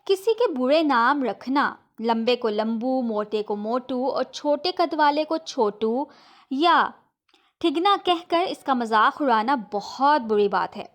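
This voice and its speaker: A woman's voice: high voice, expressive, slow. A woman voicing a moralising old grandmother in a children's storybook, admonishing and instructing the young.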